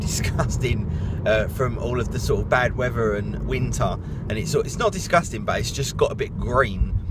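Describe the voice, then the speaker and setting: A man in his thirties talks to his camera in a loose, rambling vlog, leaning on plenty of "uh"s as he goes. His voice sits a little low and has turned hoarse and croaky, with the roughness running through every line. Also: noisy background. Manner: chatty, rambling with many "uh" fillers. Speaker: vlogger. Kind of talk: casual vlog chat.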